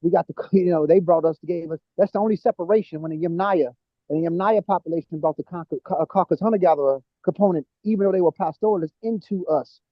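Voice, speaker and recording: High-voiced man excitedly explaining ancestry. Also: fast talker, no echo, dull audio. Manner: excited, talks through genetic terms with restarts. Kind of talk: casual discussion of genetic ancestry.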